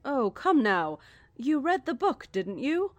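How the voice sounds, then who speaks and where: A woman playing a serene, menacing cult leader in an audio drama, formal, measured and condescending. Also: high-pitched, big pitch swings, no room sound, heavily acted. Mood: mocking, calm.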